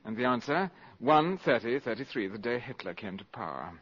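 An actor playing a suave, cynical valet turned spy in a radio drama, with a polished, mocking manner. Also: male voice, medium pitch, very animated, brisk, fairly bright tone, slightly rough. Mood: mocking, assured. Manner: polished, mocking, cool and superior. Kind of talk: 1950s radio drama acting. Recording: muffled old radio broadcast recording.

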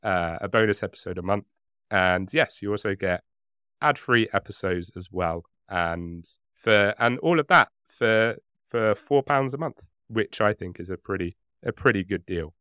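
A man's voice: on the low side, lively intonation, moderate pace, sharp tone, clear, resonant tone, no room sound, studio quality. A man co-hosting a podcast, chatting casually in a hesitant, rambling, jokey way. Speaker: podcast co-host. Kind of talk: casual chat.

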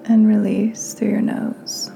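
A woman hosting a guided meditation in a soft, breathy, near-whispered voice, calm and soothing with unhurried pauses. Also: mid-range voice, slight background noise, low-quality recording. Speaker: podcast host, meditation guide.

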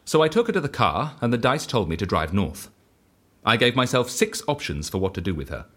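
An actor playing a reckless, exhilarated man on the run who lives by the dice, in an audio drama, excitable and evangelical yet matter-of-fact about outrageous deeds. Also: mid-range voice, fairly quick. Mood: earnest confession.

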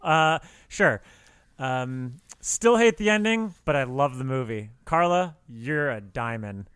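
Guy reviewing movies on a podcast, smooth clear voice, very dry sound. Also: mid-range voice, animated, slow, a bit bright, no room sound. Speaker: podcast panelist. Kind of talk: casual film critique.

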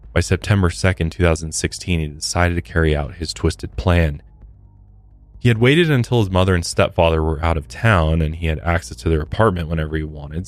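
A man with a low voice co-hosts a true crime podcast. He narrates over music, recorded dry and free of room sound. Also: a little mellow, no room sound. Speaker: podcast co-host. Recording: dry close studio sound, music underneath. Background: background music.

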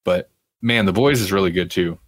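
A low-pitched man hosts a casual entertainment podcast in a dry, close recording. He moves between reading news items and offhand commentary, with a wry, self-deprecating streak. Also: moderate pace, no room sound. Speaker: podcast host. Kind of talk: casual entertainment news chat, partly reading articles aloud.

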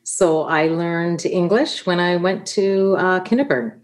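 A woman's voice: on the low side, unhurried. Woman guest, first language Italian, on a podcast. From Canada. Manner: explains in a conversational, anecdotal way. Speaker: guest expert. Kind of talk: podcast interview.

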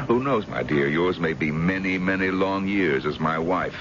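An actor playing a middle-aged, menacing and evasive husband in a radio mystery drama. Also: male voice, deep voice. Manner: halting excuses turning to menace. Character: menacing older husband, evasive, then coldly vindictive toward his wife. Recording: noisy, muffled old radio broadcast recording.

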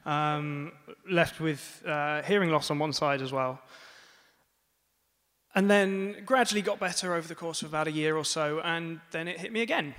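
A young man with a French accent tells a true story on stage, chatty and wry, often pausing on "um". His voice sits a touch high for a man, and the live venue adds a long, ringing reverb. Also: a bit bright. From France. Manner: chatty and self-mocking, many ums. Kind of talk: live personal storytelling to an audience. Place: museum event space.

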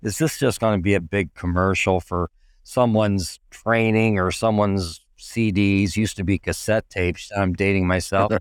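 A middle-aged man with a hoarse, raspy voice, hosting a casual podcast chat with many fillers. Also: low-pitched, steady tone, unhurried, dry recording, clean studio sound. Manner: friendly, rambling with many fillers. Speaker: podcast host.

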